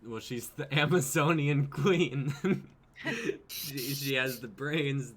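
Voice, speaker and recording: A man's voice: medium pitch, slow pace. A young adult man acting out dialogue in a dramatic script reading. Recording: noticeable background noise, online stream.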